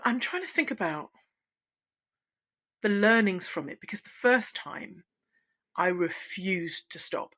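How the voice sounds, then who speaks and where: Husky woman musing on her voice. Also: medium pitch, a bit bright. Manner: candid, reflective, with frequent restarts and pauses. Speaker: singing and voice teacher. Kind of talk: unscripted personal vlog. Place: at home, wrapped in a blanket. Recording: dull, lo-fi sound.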